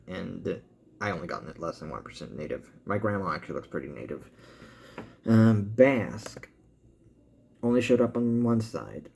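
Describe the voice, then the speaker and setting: A man with an American accent talking casually as a vlogger, thinking aloud in halting commentary as he reads through results. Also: fairly low pitch, gravelly, a little mellow, a little hiss or hum. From born in Cuba, grew up in the US. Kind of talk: casual update talk, reading results aloud.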